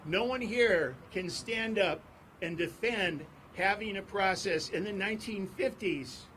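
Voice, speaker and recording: A man speaking at an outdoor press conference on court steps, in a forceful, repetitive rally cadence. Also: sits high, measured pace, a little hiss or hum. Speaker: advocacy group speaker. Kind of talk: impassioned press conference speech.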